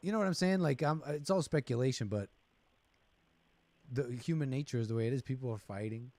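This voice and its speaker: A man hosting a call-in show, his voice close and dry with no room around it. He sits at a mid pitch and talks casually, thinking aloud and hedging with plenty of fillers. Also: brisk, darkish tone, no echo. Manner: thoughtful, hedging, many fillers.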